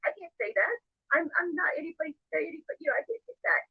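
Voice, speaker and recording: A woman chatting casually on a live stream, repeating herself haltingly and quoting what others say. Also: high-pitched, very animated, no echo. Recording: narrow phone-like audio, played clip.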